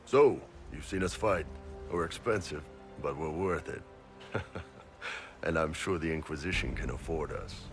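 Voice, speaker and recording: Over prominent music, a huge horned mercenary captain in a fantasy game. His voice is very low, and he talks bluntly and informally, with bluff, candid good cheer. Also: measured pace. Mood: confident, jovial. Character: big horned male Qunari mercenary boss, bluff and candid. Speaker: mercenary captain and self-declared spy. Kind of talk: video game character dialogue.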